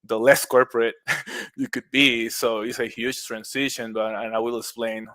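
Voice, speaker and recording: A middle-aged man with a Puerto Rican accent talks casually as a podcast guest. His pitch stays flat and even, and the recording is close and dry. He keeps a friendly tone, laughs easily and often restarts his words. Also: moderate pace, no echo. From Puerto Rico. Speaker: guest, mushroom business owner.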